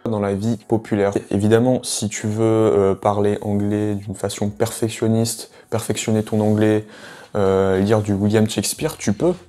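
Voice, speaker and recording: A man's voice: fairly low pitch, steady tone, moderate pace, dark tone. A man giving a casual video lesson as an English teacher, talking straight to the viewer with many hesitations. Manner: chatty, addresses viewer directly, many hesitations.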